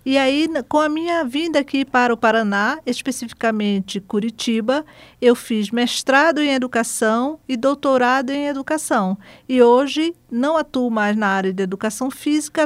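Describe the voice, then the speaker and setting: A woman's voice: fairly high pitch, dry recording. A woman from Recife with a Pernambuco accent, a course coordinator explaining her field in a lecturing way, often adding "né?". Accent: Pernambuco Portuguese. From Recife, Brazil. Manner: measured, lecturing, frequent "né?" tags. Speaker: guest, university course coordinator. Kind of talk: explanatory interview answers.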